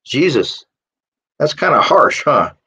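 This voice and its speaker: A man's voice: fairly high pitch, sing-song, takes it slowly, pushed and bright, husky, full character voice. A man voicing a playful, teasing young woman in a live story reading, talking in a casual, blunt way. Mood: mildly reproving.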